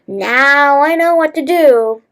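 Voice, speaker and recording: A woman's voice: sits high, slow pace, fairly bright tone, full character voice. A woman playing a greedy, stern and credulous male village headman in a storybook fable, declaring his words. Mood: threatening.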